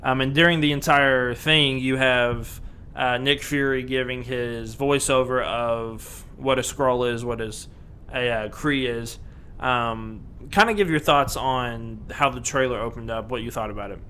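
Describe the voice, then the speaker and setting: Bright-voiced man chatting on a podcast. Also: mid-range voice, a little slow, a little hiss or hum. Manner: rambling, many fillers and restarts, laughs often. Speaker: podcast co-host. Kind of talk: casual podcast chat.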